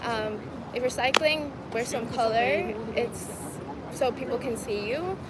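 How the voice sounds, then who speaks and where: A woman's voice: fairly high pitch, measured pace. Chatty woman streaming outdoors. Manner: chatty, laughs easily, talks to viewers as "guys". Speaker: vlogger reading live chat. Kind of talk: casual livestream chat. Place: outdoors in a city at dusk. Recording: outdoor stream with clear traffic noise. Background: street and traffic noise.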